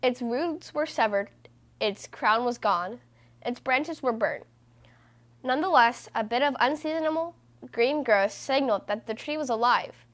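Higher-voiced woman reading a picture book aloud. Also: expressive, unhurried, a bit bright. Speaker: children's book reader, host. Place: small room indoors.

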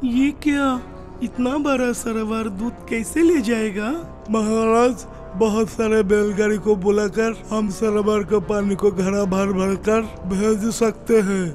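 An actor playing an excitable, fussy court man in a folk-tale cartoon. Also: high voice, slow pace. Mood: flustered. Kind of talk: Hindi cartoon voice acting. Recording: long reverb, music behind.

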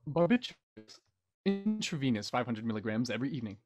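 A blunt older army doctor played by a man, with a mid-pitched voice. He talks in a breezy, folksy, matter-of-fact way, as in a period radio murder mystery. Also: big pitch swings, fast, music in the background, natural voice. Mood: blunt, amused. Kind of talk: audio drama acting.

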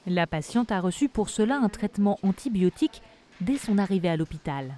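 A woman reading a scripted documentary voice-over in a measured, even way. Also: medium pitch. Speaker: documentary narrator. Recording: voice-over with music behind. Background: music.

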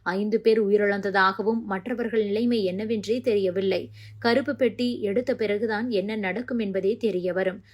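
A woman's voice: medium pitch, steady tone, moderate pace, a little mellow. A woman reading scripted news narration as a news narrator.

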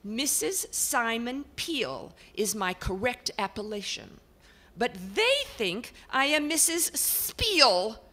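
A prim, indignant old lady who lives in a painted portrait, as a woman puts her on, speaking in a formal, old-fashioned way. Her voice climbs high and goes loud, with lively, swooping pitch. She talks slowly, and every word sounds put out. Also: heavily acted. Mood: indignant chatter. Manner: old-fashioned formal diction, shrill bursts.

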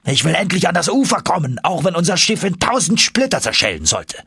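A male narrator plays a stubborn, reckless professor of an uncle in an audiobook. He shouts vehemently in a raised, husky voice, full of hot temper. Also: high-pitched, sing-song, a little fast, no echo, studio quality, voice pushed up, heavily acted. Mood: furious, defiant. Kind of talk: dramatic audiobook character acting.

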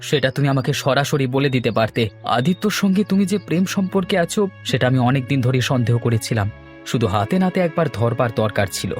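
A man's voice: medium pitch, animated, brisk, faint music behind, natural voice. A man voicing an earnest, heartbroken young lover with a reproachful manner in a cartoon. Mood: indignant, hurt. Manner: reproachful, wounded. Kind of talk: melodramatic cartoon acting.

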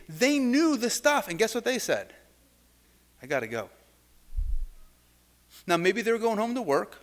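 A man's voice: high voice, fairly bright tone. A middle-aged man preaching a sermon from a lectern in a church sanctuary, talking conversationally with restarts and asides, heard from a camera some way off. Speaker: pastor. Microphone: far camera.

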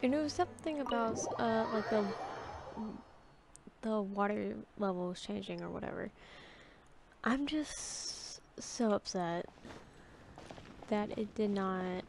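A woman giving casual, halting, exasperated commentary as host of a gaming video. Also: medium pitch, lively intonation, vocal fry, background noise. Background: video game music.

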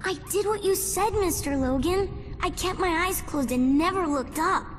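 A woman's voice: very high-pitched, normal speed, a little hiss or hum. A woman voicing a timid, earnest, polite little girl with childlike simple speech in a video game cutscene. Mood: eager, trusting. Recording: reverberant hall, faint music behind.

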